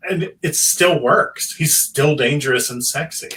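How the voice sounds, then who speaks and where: Wry man, bright voice, set back from mic. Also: fairly high pitch, unhurried, a little hiss or hum. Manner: wry, comic descriptions, many "like"s. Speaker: podcast panelist. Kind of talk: casual chat. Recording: distant mic.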